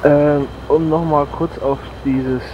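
A man's voice: on the high side, fairly even intonation, slow. A man talking casually as a guest co-commentator on a gaming let's play. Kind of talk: casual chat. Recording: dull audio with faint vehicle noise.

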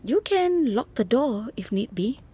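A woman guiding a relaxation exercise, speaking softly with long pauses and now and then slipping toward a whisper. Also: fairly high pitch, very animated, slightly rough. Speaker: meditation guide. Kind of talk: guided relaxation narration.

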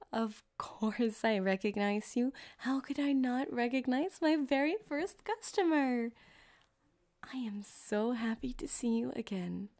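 A woman playing a flirty, sadistic yandere cyber-surgeon in an ASMR roleplay, teasing softly and often slipping into whispers, close to a binaural mic. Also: high voice, no room sound, heavily acted. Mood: excited, flirty. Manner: teasing, unhurried, intimate, giggly. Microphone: close binaural microphone, dry.

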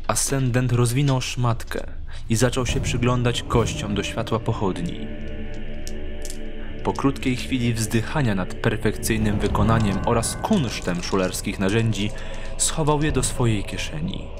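A male narrator reads in a scripted storytelling style. His voice sits at a medium pitch and moves slowly. Music is clearly present behind him.

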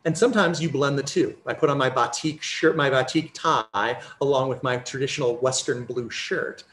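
A middle-aged American man giving a measured, explanatory academic lecture over a video call from a home office, using personal examples. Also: mid-range voice, a bit bright, a little hiss or hum. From United States. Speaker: conference lecturer. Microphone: video call, computer mic.

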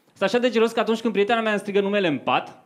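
A young man performing stand-up comedy in a conversational storytelling manner into a handheld microphone on a club stage. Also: high voice. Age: young adult. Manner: conversational storytelling to a live audience. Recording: faint noise, live club stage recording.

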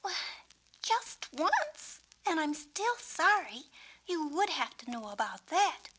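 A woman voicing an earnest, imaginative young schoolgirl in a children's story, with an excitable, emphatic manner. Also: extremely high pitch, slow pace, pitched above the performer's own voice, full character voice. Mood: sheepish. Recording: noisy 1970s children's story record.

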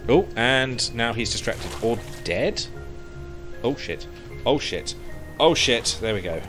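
A man's voice: mid-range voice, animated, takes it slowly. A man in his thirties chatting to himself as he plays a game, on a headset mic. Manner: chatty, talks to himself while playing. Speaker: streamer. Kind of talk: casual gameplay commentary. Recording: game music under the voice.

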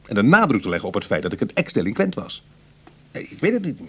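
An actor playing a wry, sceptical ex-burglar in a radio drama, husky and brooding, with hesitant conversational delivery. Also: male voice, fairly low pitch, wildly swooping pitch, quick pace, full character voice. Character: wry, sceptical male ex-burglar on a prison committee. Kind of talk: Dutch radio drama acting.

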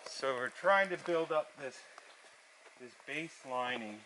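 A man giving relaxed, hands-on instruction while working outdoors in a forest camp, with soft chuckles as he explains. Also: mid-range voice, unhurried, slight background noise, rough recording. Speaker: canoe builder.

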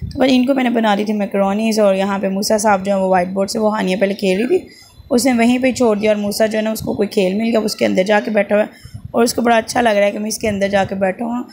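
Pakistani mom in her thirties, hoarse and rambling homely voice-over, low-key and monotone. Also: fast talker, slight background noise. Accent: Pakistani Urdu. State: sore throat, slight fever. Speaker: vlogger, mother. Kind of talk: casual voice-over narration. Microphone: voice-over at close mic.

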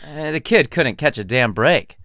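A man's voice: a little high, animated, a little slow, pushed and bright, no room sound. A man reading his own comic story in an irreverent, sardonic, chatty and theatrical way, addressing the listener directly. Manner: irreverent, sardonic, foul-mouthed. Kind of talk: comedic storytelling narration.

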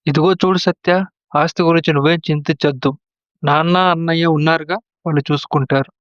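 A man's voice: a little high, fairly even intonation, brisk, no room sound, muffled recording, natural voice. A man playing a trusting, easily swayed younger brother and husband in a moral story drama, earnest and questioning. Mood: calm, reassuring.

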